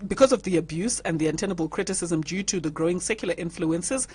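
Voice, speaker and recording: A woman's voice: fairly low pitch, fast, a little mellow, breathy edge. A woman hosting a radio panel discussion, reading out listener messages and laughing often. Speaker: radio talk show host.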